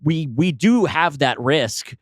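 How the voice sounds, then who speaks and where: A man's voice: on the high side, expressive, slow pace, no echo, clean studio sound. A man co-hosting a podcast, talking casually about storytelling. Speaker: podcast co-host. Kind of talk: casual chat.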